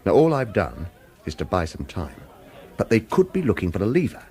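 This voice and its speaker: A man's voice: on the low side, wildly swooping pitch, a little fast, mellow. An actor playing a wary hitman who narrates a radio drama and speaks protectively, with hesitant reassurance. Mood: earnest, cautious. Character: wary male hitman narrator, protective of his lover, evasive. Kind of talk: radio drama acting with first-person noir narration.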